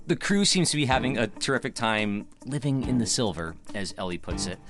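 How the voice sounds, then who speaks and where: An actor playing an earnest, witty young theater director in an audio drama. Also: male voice, mid-range voice, lightly acted. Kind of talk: audio drama acting, dialogue and reflective monologue.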